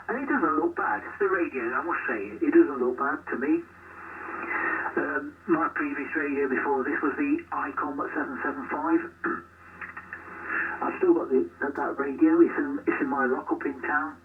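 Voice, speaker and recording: A man's voice: fairly high pitch, moderate pace. A man chatting as an amateur radio operator over shortwave, meandering and trailing off mid-thought. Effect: shortwave radio transmission. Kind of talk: casual chat over ham radio. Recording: tinny, distant, noisy shortwave radio reception.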